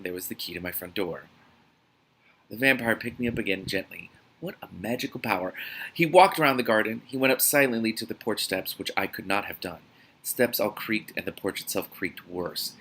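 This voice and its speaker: A man reading a novel aloud as host of a reaction series, in a dramatic, expressive manner. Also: on the low side, vocal fry, a little hiss or hum, poor audio.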